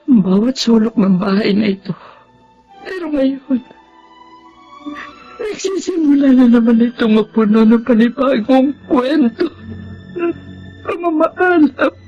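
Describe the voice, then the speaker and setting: A woman's voice: on the high side, lively intonation, a little slow, long pauses, highs cut off, faint music behind. An actress playing a grieving, tender orphaned young woman in a Tagalog radio drama, speaking tearfully. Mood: wistful. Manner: tearful, emotional delivery.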